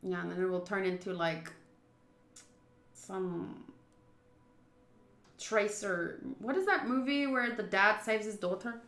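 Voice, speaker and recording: A young woman with an expressive, chatty manner, reading a visual novel aloud and commenting as she plays. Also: mid-range voice, slow pace, pauses often. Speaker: streamer playing a visual novel. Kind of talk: gaming commentary, reading story text aloud. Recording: roomy, echoing voice.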